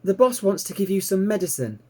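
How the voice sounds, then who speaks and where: A man's voice: sits high, very animated, brisk, a little mellow, pitched above the performer's own voice. A man voicing an eager, polite young male piglet with a childlike, earnest manner in a storybook reading. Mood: gently coaxing. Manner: courteous, earnest, pleading.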